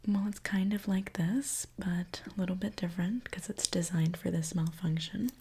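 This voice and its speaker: A woman whispers in a slow, low-pitched voice, as in an ASMR roleplay. She plays a gentle mechanic looking after a client, speaking straight to the listener with soft reassurance and no hurry at all. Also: breathy edge. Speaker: ASMR performer playing a robot repair mechanic.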